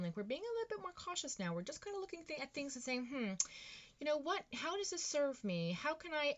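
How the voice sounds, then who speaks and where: A woman's voice: mid-range voice, highs cut off. A woman giving a casual tarot reading, rambling and encouraging, with lots of fillers and restarts. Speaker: tarot reader.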